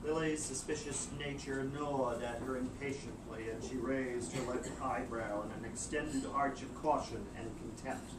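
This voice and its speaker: In an echoey, noisy room, a man narrates a story at medium pitch. His scripted reading is theatrical and arch, with a florid storyteller's manner. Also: fairly even intonation, normal speed, few pauses, recorded from a distance. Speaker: narrator reading a novel aloud.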